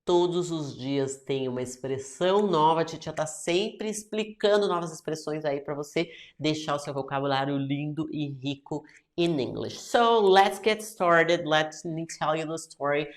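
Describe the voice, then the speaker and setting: Brazilian lady in her 40s, low voice, chatty podcast host mixing portuguese and english. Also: normal speed. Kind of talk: casual solo podcast talk.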